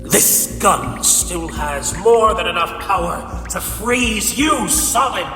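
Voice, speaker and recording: A man's voice: high voice, animated, bright, forceful tone, full character voice. Man acting a bitter, echoing ice supervillain. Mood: furious, anguished. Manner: theatrical, brooding, drawn-out delivery. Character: bitter, vengeful male ice supervillain, grandiose and grief-stricken. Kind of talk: dramatic comic dub acting. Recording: echoing reverb, music underneath. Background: music.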